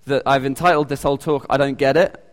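A man with a British accent giving an informal sermon. He talks haltingly, with many ums and restarts. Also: medium pitch, steady tone. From United Kingdom. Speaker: lay preacher. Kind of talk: informal sermon with audience questions. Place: church hall. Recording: long echoey church reverb.

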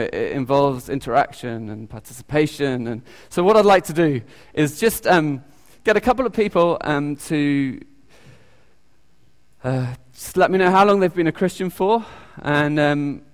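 A man with a British accent giving an informal sermon. He talks haltingly, with many ums and restarts. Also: fairly high pitch. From United Kingdom. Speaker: lay preacher. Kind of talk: informal sermon with audience questions. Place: church hall. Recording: long echoey church reverb.

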